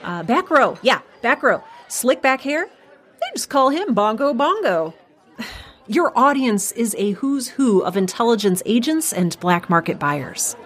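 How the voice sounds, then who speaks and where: An actress playing a cocky, teasing young trainee spy in an audio drama, with a playful manner. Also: female voice, a little high, very animated, unhurried, fairly bright tone, heavily acted. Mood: amused, knowing.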